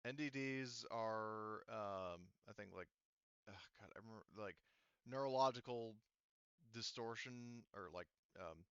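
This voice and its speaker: A man narrating a tabletop game casually as game master, explaining in a halting, rambling way on a slightly lo-fi recording. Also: on the low side, a bit bright, clean tone, no echo.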